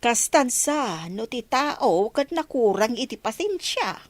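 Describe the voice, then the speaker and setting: A woman's voice: a little high, animated, slow pace, dry recording. A woman acting an opinionated, matter-of-fact villager in a radio drama.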